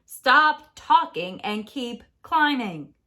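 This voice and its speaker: A woman's voice: fairly high pitch, lively intonation, extremely slow, sharp tone, voice pushed up, heavily acted. Woman playing a bossy, emphatic storybook narrator. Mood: stern. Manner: firm, emphatic, insistent. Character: bossy, exasperated storybook narrator scolding the hero. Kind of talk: children's storybook read-aloud.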